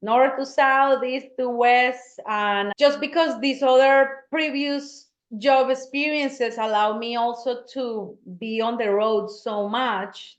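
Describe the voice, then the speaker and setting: Woman, bright and chatty. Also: fairly high pitch. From Colombia. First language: Spanish. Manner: laughs easily, earnest and chatty. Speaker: guest, real estate agent. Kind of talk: casual interview talk. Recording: echoey, dull-sounding room.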